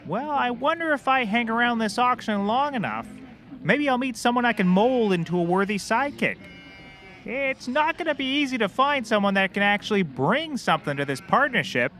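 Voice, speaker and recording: An actor playing a clueless, eager private detective in a scripted audio comedy, excitable, earnest and oblivious. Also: male voice, very high-pitched, moderate pace, heavily acted. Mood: oblivious, hopeful.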